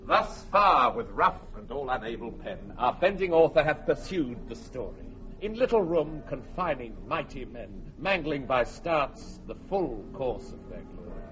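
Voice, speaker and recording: Slow, sweeping stately chorus. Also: male voice, medium pitch, very animated, fairly bright tone, soft background music. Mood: stately, elegiac. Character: stately chorus narrator closing a history play in verse. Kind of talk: declaimed Shakespearean verse on radio. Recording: muffled old radio recording.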